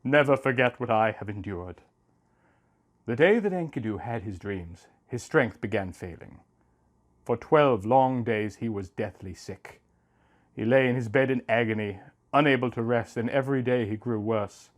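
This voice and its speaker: A man's voice: mid-range voice, moderate pace. A man reading an ancient epic aloud as a dramatic storyteller.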